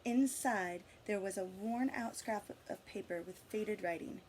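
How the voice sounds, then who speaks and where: A woman reading a picture book aloud to children, with dramatic emphasis, drawn-out words and asides to her listeners. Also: mid-range voice, a little mellow, a little hiss or hum. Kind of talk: storybook read-aloud with asides and questions to listeners.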